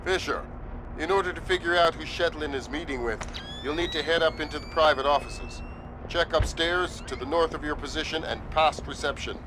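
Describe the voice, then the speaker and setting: An actor playing a seasoned, exacting intelligence chief in a video game, speaking in a measured, authoritative and dry manner. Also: male voice, on the high side, background noise, close to an everyday voice. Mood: businesslike. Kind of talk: video game voice acting, briefing.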